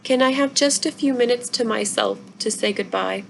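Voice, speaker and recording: A woman's voice: fairly high pitch, moderate pace, vocal fry, a little hiss or hum, pitched above the performer's own voice. A woman voicing an earnest, emotional young girl in a storybook reading. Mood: tearful, pleading. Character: young girl, tearful then excited, earnest.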